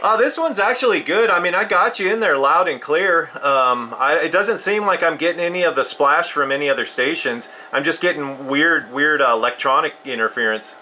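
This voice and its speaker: A man's voice: on the high side, lively intonation, moderate pace, few pauses. A man chatting casually as a radio hobbyist, agreeable and a little hesitant in his phrasing. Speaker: radio hobbyist recording the contact. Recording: clear background radio noise and clicks.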